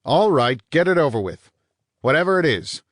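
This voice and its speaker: A man's voice: medium pitch, animated, brisk, dry recording, clean studio sound, voice pushed up, natural voice. A man voicing a vain, irritable man who snaps out his lines with hostility. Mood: angry. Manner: snapping, hostile.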